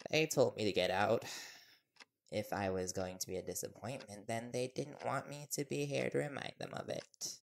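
A man's voice: mid-range voice, fairly even intonation, moderate pace, creaky, close to an everyday voice. A man playing an earnest, nervous young reporter boyfriend in an intimate audio roleplay, hesitant and deferential. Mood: reflective, hurt.

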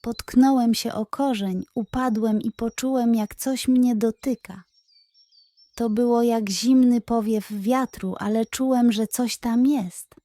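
A woman's voice: on the high side, steady tone, takes it slowly, dark tone, no room sound. A woman voicing a frightened young male bunny in a children's story, speaking anxiously and urgently. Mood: fearful.

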